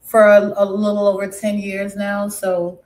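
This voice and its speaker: A woman's voice: medium pitch, monotone, unhurried, a little mellow, low-quality recording. A woman from Brooklyn with a mixed New York and West Indian accent, casually chatting with viewers on her live stream and calling them pet names.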